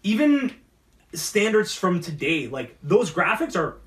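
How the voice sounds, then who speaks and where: A man co-hosting a casual geek talk show, speaking in halting, repetitive bursts with lots of "like". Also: high-pitched, big pitch swings, fairly bright tone. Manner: halting, repetitive, heavy use of "like". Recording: echoey room, distant from mic.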